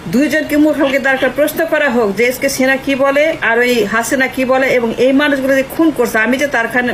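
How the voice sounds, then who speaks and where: Casting: older Bangladeshi woman, higher voice, emphatic and repetitive phone talk with English mixed in, faint noise. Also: fairly bright tone. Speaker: writer and activist on a phone call. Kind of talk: earnest phone conversation. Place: phone call. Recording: noisy phone call recording.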